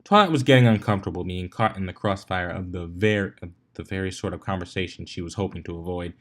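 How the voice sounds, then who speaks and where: A man's voice: deep voice, quick pace, a bit bright. A man narrating a story expressively, occasionally stumbling over a word and correcting himself. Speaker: fan fiction reader. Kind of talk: narrated story reading with character voices.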